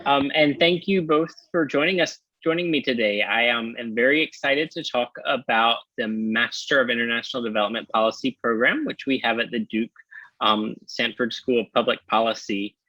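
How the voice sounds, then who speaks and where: A man's voice: mid-range voice, moderate pace, sharp tone, dry recording, low-quality recording. A man presenting a university program in a webinar over a video call, friendly with frequent ums. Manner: friendly, many filler ums.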